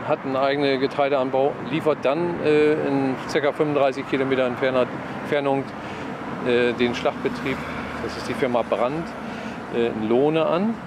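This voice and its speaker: Halting man interviewed outdoors amid noise. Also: mid-range voice, steady tone. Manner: halting, searching for words. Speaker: butcher business owner. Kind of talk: interview answer. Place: outdoors outside a building. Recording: outdoor street noise, passing cars.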